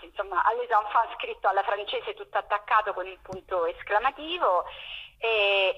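A woman's voice: mid-range voice, slightly rough, highs cut off. A woman explains things informally as a radio interview guest, searching for her words as she talks over the telephone. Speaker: interview guest, theatre project organiser.

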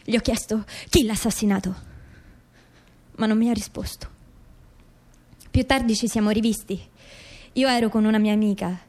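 A woman's voice: medium pitch, steady tone, normal speed, pauses often, a little mellow, lightly acted. An actress playing a grieving, insistent mother seeking the truth about her dead son in a radio drama. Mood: uneasy, suspicious.

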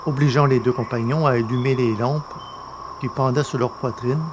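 A man reading as a podcast host and narrator, with measured, articulate, scripted delivery. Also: medium pitch, fairly even intonation, noisy background. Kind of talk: scripted podcast presentation and audio novel narration.

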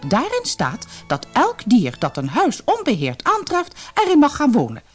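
A woman's voice: high voice, wildly swooping pitch, moderate pace, slightly rough, soft background music, pitched above the performer's own voice, full character voice. A woman voicing a shrewd, brazen old lady weasel in a storybook, haughty and formal. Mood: smug lecturing. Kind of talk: storybook character voice.

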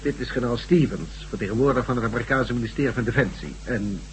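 An actor playing a courteous, formal police inspector in a Dutch science-fiction radio drama. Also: male voice, mid-range voice, animated, natural voice. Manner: polite, formal, measured wording. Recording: noisy, humming old radio recording.

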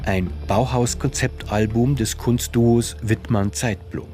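A man's voice: medium pitch, steady tone, slow, mellow, faint music behind. A man presenting radio plays in scripted narration.

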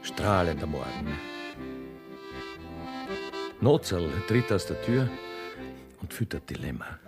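A man reading scripted stage directions as a narrator, in a measured, descriptive way. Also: a bass voice, big pitch swings, loud music behind the voice.

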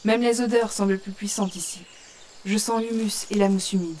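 Novice game-world archer, played by a woman: mid-pitched, contemplative audio drama narration, immersed, faint forest ambience behind. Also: fairly even intonation, normal speed, darkish tone, a little hiss or hum, natural voice. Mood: awed, savoring. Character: female novice archer in virtual world, awestruck, observant. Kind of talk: French audio fiction, descriptive first-person narration. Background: forest ambience with birds and insects.

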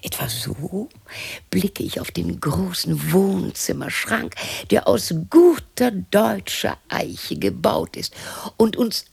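Creaky, echoing old widow. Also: female voice, mid-range voice, very animated, darkish tone, exaggerated voice. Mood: slow, deliberate. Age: 60s. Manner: theatrical, halting, muttering to herself, sardonic. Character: lonely, bitter, eccentric sixty-year-old widow talking to herself. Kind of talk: radio drama monologue. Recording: roomy echo with faint noise.

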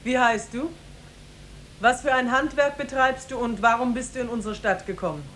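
An actress playing a stern, commanding woman who rules and judges as king, in an imperious, deliberate 1950s radio drama style. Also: fairly high pitch, a bit bright, natural voice. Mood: stern, judging. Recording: noisy 1950s radio recording.

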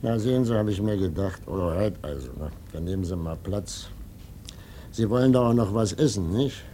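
An actor playing a folksy, sly, unbothered innkeeper in a German radio play, husky and chatty, repeating himself. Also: male voice, fairly low pitch, normal speed, mellow, a little hiss or hum, natural voice. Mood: brisk, sly.

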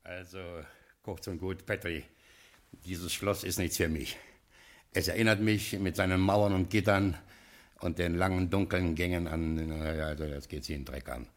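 An actor playing a blustering rich racketeer who boasts, stammers and falls into fearful whispers, in an old radio crime play. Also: male voice, low-pitched, steady tone, normal speed. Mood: boastful.

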